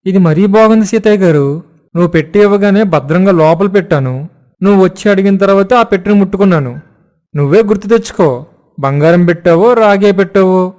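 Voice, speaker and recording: A man playing a sly, dishonest villager in an animated moral story, feigning innocence and nervously evading. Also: high-pitched, fairly quick, lightly acted. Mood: defensive.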